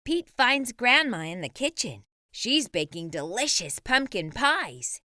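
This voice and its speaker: A woman reading a picture book to children with a bright, animated voice. Her pitch sits a little high and moves a lot, recorded dry and close. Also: a little slow, no echo. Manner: lively, expressive reading. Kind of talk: read-aloud storytelling.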